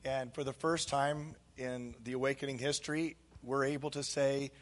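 A hoarse man speaking as a pastor, giving casual church announcements with frequent fillers. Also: mid-range voice, level tone, normal speed. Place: church sanctuary.

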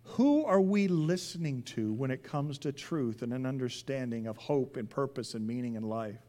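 A man preaching a sermon as a pastor, emphatic, with deliberate pauses, repeated phrases and questions put to his listeners. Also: on the high side.

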